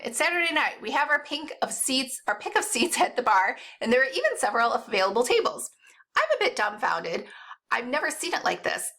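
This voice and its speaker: A woman reading first-person novel narration aloud in an expressive, animated storytelling way. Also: on the high side, vocal fry. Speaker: audiobook-style reader.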